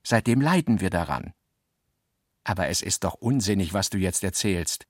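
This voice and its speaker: A man playing a literary narrator in a radio drama, measured and articulate, acting out the dialogue he quotes. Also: deep voice, lively intonation, no echo, clean studio sound. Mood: observant. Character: literary male narrator recounting and quoting his guest's talk.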